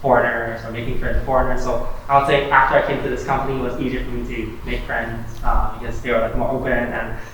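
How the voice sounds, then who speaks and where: A man's voice: medium pitch, flat delivery, fast, few pauses, a bit bright. A man in his twenties from Hong Kong with a mid-range voice who strings his thoughts together with constant "like" fillers. He sounds distant in a very echoey room, with a faint background hiss. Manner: chatty, full of like fillers. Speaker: panel guest. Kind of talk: casual group discussion.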